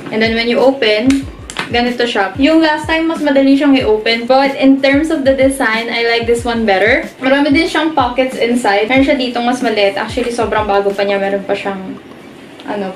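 A woman's voice: a little high, brisk, reverberant, poor audio. Chatty Filipino woman in a bedroom. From Philippines. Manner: chatty, playful, switching between English and Tagalog. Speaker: flight attendant vlogger. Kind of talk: casual show-and-tell vlog. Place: bedroom, indoors.

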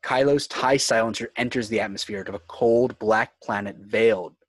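A man's voice: on the low side, normal speed, husky, no echo. A man reading scripted screenplay narration and stage directions for a table read.

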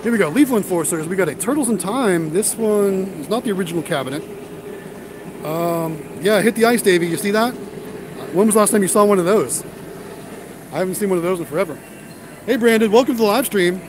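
A man's voice: sits high, fairly quick, background noise. Chatty man livestreaming a warehouse auction. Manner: chatty, addresses viewers as guys. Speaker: vending business vlogger and livestream host. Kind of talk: casual walkthrough livestream. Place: large indoor warehouse auction hall.

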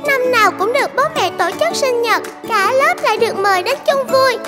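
A woman voicing a polite, shy little schoolgirl in a dubbed cartoon, bright and lively. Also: extremely high pitch, fairly quick, big-room sound, loud music behind the voice. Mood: excited. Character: cheerful shy schoolgirl, about ten. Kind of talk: cartoon dubbing.

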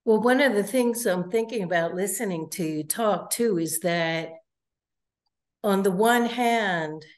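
A woman interviewer asking long, measured questions over a video call from home, often saying "you know". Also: medium pitch. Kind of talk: conversational interview.

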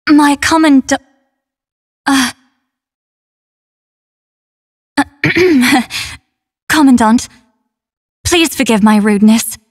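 Very slow, solemn female android soldier. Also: high-pitched. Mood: courteous. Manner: measured, formal, earnest. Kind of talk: English dub game voice acting.

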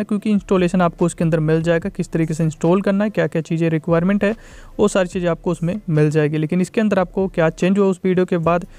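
A young man giving an informal, explanatory voice-over for a phone software review, often repeating his points. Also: high-pitched. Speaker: tech reviewer.

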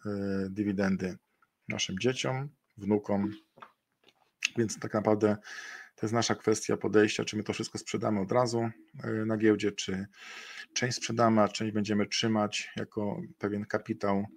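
Middle-aged male webinar presenter, gravelly voice, hesitant, fills gaps with "e", webcam sound. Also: lowish voice. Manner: hesitant, many fillers and restarts. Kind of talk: investment webinar talk.

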